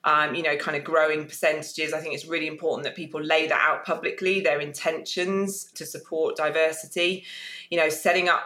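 A woman's voice: deep voice, little pitch movement, brisk, sharp tone. Hesitant woman in an echoey room. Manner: hesitant, lots of "you know" fillers. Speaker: panelist, tech company newcomer. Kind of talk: panel discussion.

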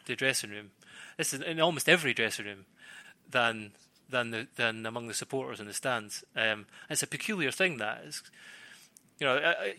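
A man's voice: medium pitch, sharp tone, no echo. A man giving casual, analytical football punditry, hesitating and repeating words as he thinks. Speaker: football pundit, former defender.

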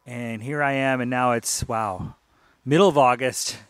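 A man's voice: medium pitch, steady tone, measured pace, fairly bright tone, clear, resonant tone, dry recording. A male radio DJ with a mid-range voice, recorded dry and close. He chats casually between tracks, rambling loosely and playfully, often circling back to repeat a word or phrase. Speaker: radio DJ host.

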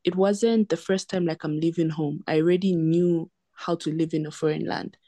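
A woman's voice: deep voice, fairly even intonation, moderate pace, mellow, dry recording. A young woman from Zambia and South Africa with a Southern African accent, explaining her own experiences as an interview guest and repeating words for emphasis. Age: young adult. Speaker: podcast guest, medical doctor. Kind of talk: conversational interview, recounting personal experience.

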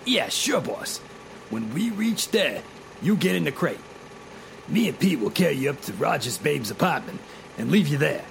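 Man as dim henchman, gravelly, swooping pitch, deferential, slangy, 1940s radio drama. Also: very animated, normal speed, poor audio. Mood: eager, obedient. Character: eager, dim, deferential male gangster henchman. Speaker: actor. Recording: clear car engine noise.

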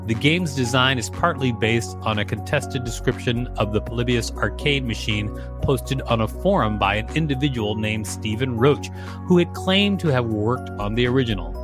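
A man's voice: medium pitch, normal speed, over music. A man co-hosting a podcast, reading a scripted encyclopedia-style article aloud.

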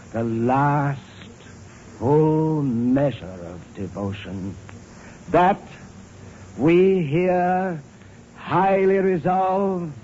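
A humble, earnest manservant, speaks with formal, courteous care. His delivery is deferential and often slow. The sound is a muffled old film track with faint hiss. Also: on the high side, a little mellow. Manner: courteous, halting, formal diction. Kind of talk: 1930s Hollywood comedy film acting.

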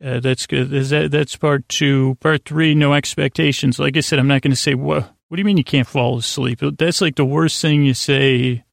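Male sleep-podcast host, creaky mid-pitched voice, halting and rambling bedtime delivery, very dry sound. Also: steady tone, no echo. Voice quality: creaky, gravelly. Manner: meandering, halting, stumbling over words, trailing into tangents. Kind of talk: rambling bedtime monologue to lull listeners to sleep.

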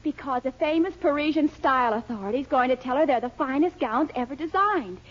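An excitable young sweetheart from 1940s radio comedy. Her very high, girlish voice is gushing and persuasive, heard through a muffled old broadcast. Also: brisk. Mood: excited, persuasive.

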